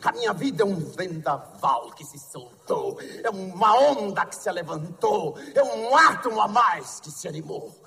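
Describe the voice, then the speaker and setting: A defiant Brazilian man recites stage poetry. His voice is high and sweeps up and down in a long theatre-like reverb. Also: animated, normal speed, creaky, few pauses, bright, forceful tone, full character voice. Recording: long hall reverb, faint music.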